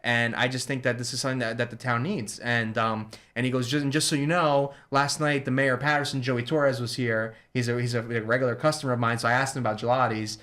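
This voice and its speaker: A man from New Jersey talks quickly and at a middle pitch, telling a story in a relaxed chat. He slips into the voices of the people he quotes as he goes. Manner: fast, animated retelling with quoted dialogue. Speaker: guest, ice cream shop owner. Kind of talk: casual storytelling.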